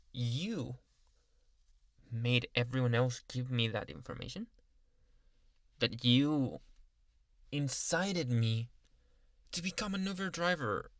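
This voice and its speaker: A man playing an obsessive, doting young yandere Uber driver in an intimate ASMR roleplay, coaxing and smugly pleased with himself, with halting speech and soft whispered asides. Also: mid-range voice, no room sound, clean studio sound. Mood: incredulous.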